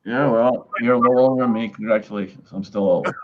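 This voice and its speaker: A man's voice: medium pitch, steady tone, fast, phone-quality sound. A man in his late thirties chatting casually on a live stream, laid-back and dry. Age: 30s. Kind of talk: casual chat.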